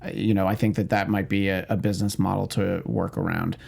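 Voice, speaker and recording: A man's voice: sits low, little pitch movement, fast talker, vocal fry, a little mellow. A man co-hosting a panel show, talking through his thoughts in casual discussion. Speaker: panel co-host.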